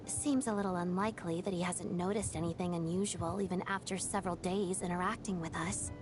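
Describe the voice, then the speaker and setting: A woman's voice: on the low side, fairly even intonation, brisk, close to an everyday voice. Woman as an inquisitive companion over music. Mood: puzzled. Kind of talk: video game voice acting. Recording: game music behind the voice.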